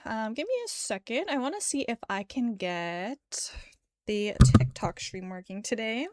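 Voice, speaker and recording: A woman's voice: on the high side, expressive, moderate pace, dry recording, studio quality. A woman livestreamer chatting casually with viewers in a bubbly, giggly, friendly manner. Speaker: livestreamer, host. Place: indoor livestream setup.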